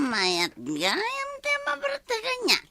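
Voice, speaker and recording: A woman playing a mischievous, loud, drunk village wife in an Ilocano radio comedy drama, wailing and cackling theatrically with an Ilocano accent. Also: extremely high pitch, huge pitch swings, slow, heavily acted. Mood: tipsy, rowdy.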